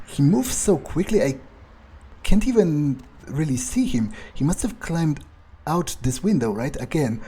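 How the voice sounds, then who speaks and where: Man as a husky, resentful fledgling vampire. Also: mid-range voice, dark tone. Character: resentful, suspicious fledgling male vampire thinking aloud. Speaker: gaming video player. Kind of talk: improvised in-character game playthrough monologue.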